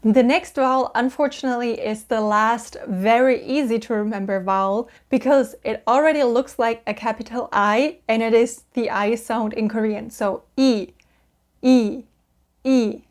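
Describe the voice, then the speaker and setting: A young woman with a German accent, patiently explaining Korean vowels and repeating each sound slowly. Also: on the high side, fairly even intonation. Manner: cheerful, patient, drawn-out sounds for repetition. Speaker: Korean language teacher.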